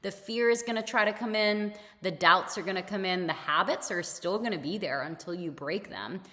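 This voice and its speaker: A woman hosting a solo motivational podcast, talking casually and repeating her key points, her voice slightly raspy. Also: mid-range voice, moderate pace, pushed and bright, long reverb tail. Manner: casual and conversational, repeats key points, laughs between phrases. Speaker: podcast host.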